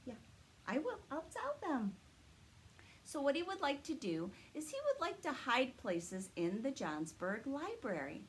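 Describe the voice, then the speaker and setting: A woman hosting a story time for small children, talking to young viewers in an animated, sing-song way. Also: fairly high pitch, a little hiss or hum. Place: indoors in a library.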